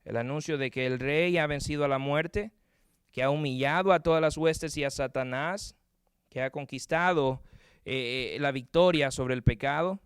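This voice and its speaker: A man's voice: on the high side, moderate pace, clean tone, no room sound, studio quality. A man with a Puerto Rican Spanish accent preaching a sermon earnestly, often repeating words as he searches for them. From Puerto Rico. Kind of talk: sermon with closing prayer.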